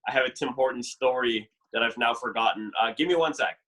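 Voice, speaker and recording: A young man from Canada chats on a podcast with a bright, clear-edged voice at an ordinary middle pitch. He rambles easily and leans on fillers and "like" as he tells his stories. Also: brisk, poor audio. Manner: chatty, lots of fillers and "like". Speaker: dating show contestant. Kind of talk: casual podcast chat.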